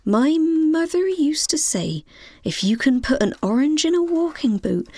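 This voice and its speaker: A woman acting as a scatty, gossipy, naive widow in an audio comedy drama, breathy and rambling with a deadpan delivery. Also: high-pitched, a little slow, no room sound, full character voice.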